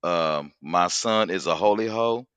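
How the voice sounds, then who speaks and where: A man's voice: sits low, a little slow, fairly bright tone, smooth, no room sound. A man hosting a casual podcast chat, talking in a loose, rambling way and swearing freely. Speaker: podcast host.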